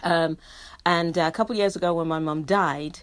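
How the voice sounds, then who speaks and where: A woman's voice: fairly low pitch, steady tone, fairly quick, no echo. A middle-aged woman with a Barbadian accent, a poet reading her dialect poems with rhythm and then talking about her book as a radio guest. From Barbadian family heritage, living in England. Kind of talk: poetry reading and radio interview.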